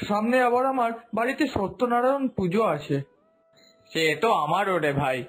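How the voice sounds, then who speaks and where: A man voicing a homesick, hardworking son in a cartoon drama, earnest and complaining, with a husky voice. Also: high voice, poor audio. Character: homesick, hardworking son working far from home. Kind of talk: Bengali cartoon drama dubbing.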